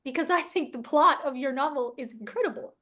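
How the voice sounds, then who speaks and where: High-voiced woman, enthusiastic casual interviewer. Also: fairly quick, a bit bright. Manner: enthusiastic, informal, curious. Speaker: interview host. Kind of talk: casual chat.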